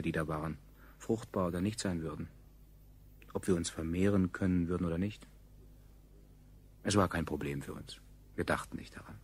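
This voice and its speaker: Grave male survivor, 1970s radio drama: bass-deep, husky, solemn, measured. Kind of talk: 1970s German radio play narration.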